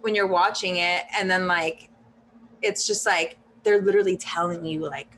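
A young woman hosting a casual podcast chat, animated and chatty, swearing now and then and acting out quotes. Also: fairly low pitch, normal speed, a little hiss or hum, low-quality recording. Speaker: podcast host.

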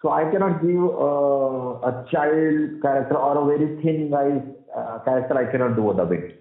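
A man with a husky, heavy voice, explaining at length as an interview guest, with many fillers and restarts. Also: on the high side, moderate pace. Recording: roomy, tinny phone-like sound.

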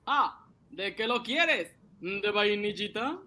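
A man acting a simple, excitable, poor ice-cream vendor and husband in a radio serial, with folksy, naive exclamations. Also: sits high, slow pace, full character voice. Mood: jovial.

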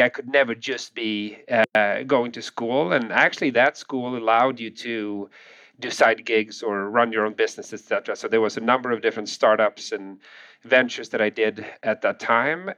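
A man in his forties from Sweden with a Swedish accent, telling his story as a podcast guest in a relaxed way with frequent chuckles. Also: mid-range voice, no room sound. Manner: relaxed, chuckling, restarts often. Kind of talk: conversational interview.

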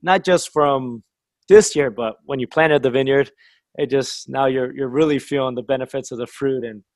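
A man's voice: mid-range voice, moderate pace, no room sound. A man in his thirties who runs a winery, casually interviewing a guest in a chatty, friendly way and laughing often.

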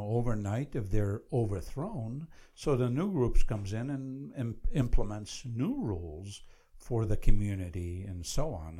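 A man's voice: lowish voice, mellow. A man from Iraq with an Iraqi accent, discussing a book conversationally and explaining things with some repetition.

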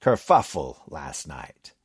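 A man voicing a stern male professor who speaks slowly and formally. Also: extremely low pitch, big pitch swings, breathy edge, no echo, studio quality, pitched below the performer's own voice, full character voice. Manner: measured, slow, formal.

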